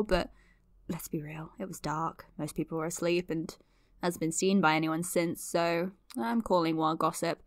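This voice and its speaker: A chatty, nosy podcaster. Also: female voice, on the low side, no room sound, studio quality. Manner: chatty, playful, dramatic asides. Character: curious young woman podcaster, chatty and nosy. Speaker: podcast host. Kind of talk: audio drama, chatty podcast monologue.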